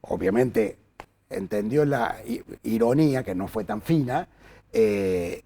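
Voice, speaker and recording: A man's voice: fairly low pitch, takes it slowly, a little hiss or hum. An older man from Córdoba with an Argentine accent, telling career anecdotes as an interview guest with lots of fillers and restarts. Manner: animated storyteller with frequent fillers and restarts. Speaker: journalist guest. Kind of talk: anecdotal storytelling in conversation.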